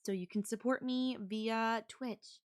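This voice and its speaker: A woman's voice: on the high side, steady tone, slow pace. A woman who streams and makes audio roleplays, addressing her listeners in a short channel introduction.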